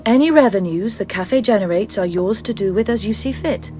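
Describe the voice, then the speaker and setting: An actress playing a brisk, composed agent of a secret order in a video game, businesslike and matter-of-fact. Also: female voice, medium pitch, darkish tone, faint music behind, close to an everyday voice. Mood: businesslike, helpful. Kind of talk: video game cutscene acting.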